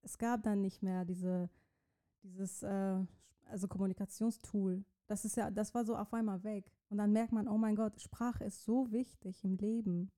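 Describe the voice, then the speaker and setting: Young woman from Iran, native Persian speaker, very dark timbre, halting interview answers. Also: mid-range voice, fairly even intonation. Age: young adult. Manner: searching for words, many restarts. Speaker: interview guest, student writer.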